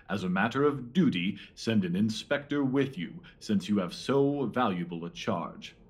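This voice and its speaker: Victorian detective, male actor, officious and pompous, rough-edged voice with swooping delivery, audio mystery drama. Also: fairly low pitch, darkish tone. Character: pompous Victorian police detective man, self-satisfied, officious.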